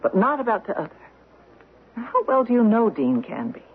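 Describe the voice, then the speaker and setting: High, lively, suspicious wife. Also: female voice, normal speed, slightly rough. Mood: wry, probing. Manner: conversational, questioning, wry. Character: suspicious, quick-witted wife who trusts her hunches. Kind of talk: radio mystery drama acting. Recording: dull old radio recording, faint noise.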